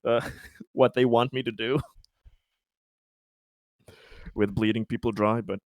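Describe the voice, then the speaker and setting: A man chatting casually as a tabletop game player, halting and wry, swearing freely. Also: mid-range voice, fairly even intonation, darkish tone, dry recording.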